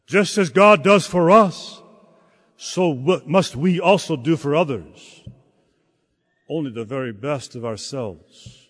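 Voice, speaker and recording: A man preaching a sermon in a slow, halting delivery, often repeating words as he searches for the next phrase. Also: fairly high pitch, a bit bright. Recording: long hall reverb.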